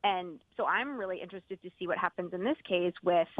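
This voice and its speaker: A woman's voice: lowish voice, no room sound. Woman on a tinny phone line. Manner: measured, hesitant with frequent fillers. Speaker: podcast host, guest. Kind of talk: roundtable discussion.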